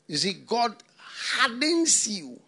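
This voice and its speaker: Man, older, from Ghana, pastor, sermon, lively pitch, repeats phrases. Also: takes it slowly, slightly rough. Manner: preaching with repetition and rhetorical questions.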